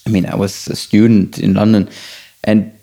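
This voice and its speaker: A man born in Germany talks in an easygoing chat with a somewhat low voice. He is enthusiastic and quick to agree. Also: level tone, gravelly, dark tone. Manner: enthusiastic, laughs easily, quick affirmations. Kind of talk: casual conversation.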